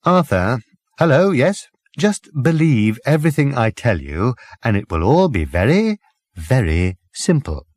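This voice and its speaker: A man voicing an impatient, excitable galactic traveller in an audiobook, emphatic and easily exasperated. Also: medium pitch, no room sound, studio quality. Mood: strained patience. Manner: emphatic, slow and distinct when exasperated.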